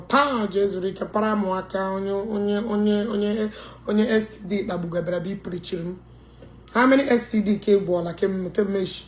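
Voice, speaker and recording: A woman's voice: mid-range voice, a little mellow, a little hiss or hum. Nigerian lady hosting a live call-in, scolds people, switches into igbo mid sentence. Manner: scolding, mixing English with Igbo. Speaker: live show host.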